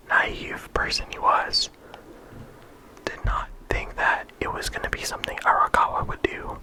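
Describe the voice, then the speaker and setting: An ASMR creator whispering softly throughout, talking casually with frequent ums and likes into a close microphone. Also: rough recording. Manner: soft unvoiced whisper throughout. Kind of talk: whispered ASMR game discussion.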